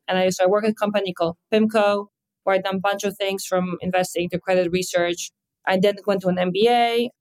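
An upbeat woman with a Polish accent answers as a podcast guest, candid and quick. She sounds like an executive in her thirties, talking about her work with easy confidence. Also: mid-range voice, poor audio. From Poland. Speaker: guest, fitness company executive. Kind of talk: podcast interview.

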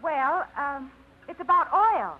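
A woman's voice: high-pitched, very animated, takes it slowly, a little hiss or hum, full character voice. An actress playing a shy, hesitant young woman who stumbles over her words, in a 1950s radio drama. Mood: timid. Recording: muffled 1950s radio broadcast.

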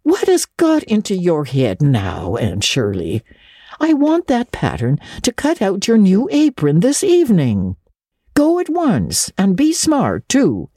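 A woman's voice: medium pitch, huge pitch swings, slow pace, dark tone, husky, no room sound, full character voice. A woman voicing a stern, practical older woman with a dry, sarcastic, no-nonsense manner in a dramatic storybook reading. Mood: brisk, impatient. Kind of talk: dramatic audiobook reading of classic children's literature.

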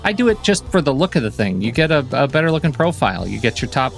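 A man co-hosting a podcast in casual chat, talkative and quick to laugh at his own slips. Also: medium pitch, big pitch swings, gravelly, faint music behind. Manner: chatty, self-correcting, laughs easily. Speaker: podcast co-host.

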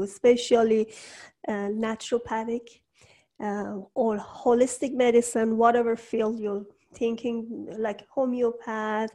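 A woman hosting a conversational interview, speaking English with halting, non-native phrasing. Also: a little high, a little mellow. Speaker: interview host, coach.

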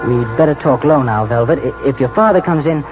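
An actor playing a keen, plain-spoken young English stable lad in a 1940s radio drama, halting and colloquial. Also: male voice, mid-range voice, lively intonation, over music, lightly acted. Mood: conspiratorial, earnest. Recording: muffled, noisy 1949 radio broadcast.

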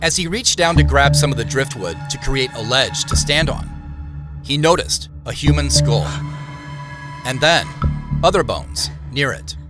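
An actor playing a storyteller, narrating an audio drama in a measured, scripted way. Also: male voice, mid-range voice, expressive, loud music behind the voice, natural voice. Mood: measured, mysterious. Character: storyteller recounting clues and an eerie boyhood tale.

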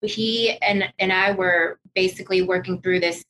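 A woman telling personal stories as an interview guest, sincere and halting, restarting phrases for emphasis. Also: mid-range voice, steady tone, a bit bright, slight background noise, rough recording. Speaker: podcast guest, corporate executive. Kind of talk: personal storytelling in casual interview.

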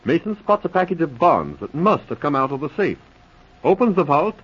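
An eager, puzzled police subordinate in a 1940s radio drama. His husky voice rises and falls with lively emphasis. The vintage recording sounds dull. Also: on the high side, normal speed, exaggerated voice. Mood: earnest, reporting. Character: earnest, puzzled police subordinate reciting case facts.

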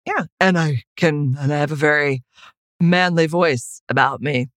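A woman's voice: low voice, measured pace, a bit bright, dry recording, clean studio sound. A woman co-hosting a casual chat podcast, playful and self-mocking, laughing easily as she talks. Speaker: podcast co-host.

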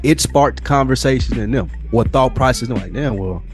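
A man's voice: medium pitch, moderate pace, soft background music. A man co-hosting a podcast in casual, opinionated chat, speaking emphatically with slang and free swearing. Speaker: podcast co-host.

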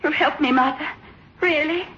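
A woman's voice: high-pitched, normal speed, sharp tone. An actress playing a fragile, suspicious and easily manipulated woman in 1960s radio suspense style, wary and bewildered. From South Africa. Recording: dull vintage radio recording.

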